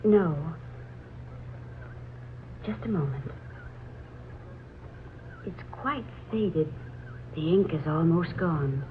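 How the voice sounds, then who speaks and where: A woman's voice: sits low, animated, extremely slow, long pauses, noisy background, full character voice. An actress playing an earnest, solemn young woman uneasy about her family legend, in 1940s radio drama style. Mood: hushed, solemn. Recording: muffled 1940s radio recording, organ music behind.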